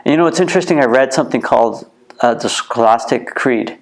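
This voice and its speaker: A middle-aged man with a Canadian accent speaks earnestly in an interview. His voice has a gravelly edge, and he often repeats a word or two as he restarts a sentence. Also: mid-range voice, quick pace. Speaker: museum co-founder, interview guest. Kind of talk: reflective interview answers. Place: heritage museum.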